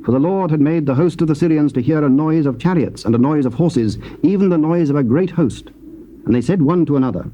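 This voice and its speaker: Solemn male narrator with a mellow voice. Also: fairly high pitch, expressive, dull audio, slight background noise. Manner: measured, solemn reading. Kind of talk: scripted scripture narration.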